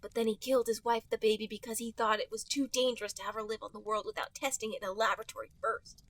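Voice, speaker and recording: High-pitched know-it-all fox kid. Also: male voice, little pitch movement, quick pace, fairly bright tone, dry recording. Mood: earnest lecturing. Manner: lecturing, matter-of-fact. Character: earnest young male fox, know-it-all explainer of science.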